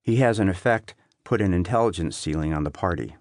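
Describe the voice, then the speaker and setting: A man with a low-pitched voice narrates written prose for an audiobook. He phrases each clause clearly and keeps a measured delivery. The sound is close and dry, free of room reflections. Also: a little mellow, no room sound. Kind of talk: scripted essay narration.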